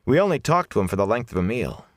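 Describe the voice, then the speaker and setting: A man voicing a stubborn young village woman in an audiobook, earnest and quick to explain. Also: mid-range voice, big pitch swings, dry recording, clean studio sound, voice pushed up, close to an everyday voice.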